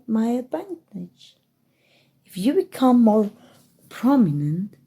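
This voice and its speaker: Woman playing a slow, breathy, scheming evil queen. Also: a little high, dark tone, full character voice. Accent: Mexican. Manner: slow, measured, musing delivery addressed to a listener. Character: haughty, scheming evil queen, playfully condescending. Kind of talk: ASMR roleplay monologue.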